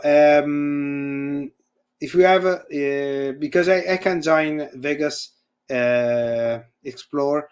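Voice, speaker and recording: A man with an Italian accent speaks in English, his second language, over a video call. He gropes for words, restarting phrases and filling gaps with "uh" and "let me say". His call audio drops in and out now and then. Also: medium pitch. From Italy. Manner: halting, searching for words, frequent fillers and restarts. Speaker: guest presenter and university lecturer. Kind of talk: casual chat and live coding demo on a video call. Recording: audio fading in and out.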